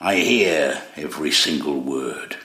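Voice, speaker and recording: Gruff older male stagehand, audio drama. Low, husky rasp, blunt and grudging, roomy sound. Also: a little slow, bright, forceful tone, full character voice. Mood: grumbling, wry. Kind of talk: full-cast audio drama acting.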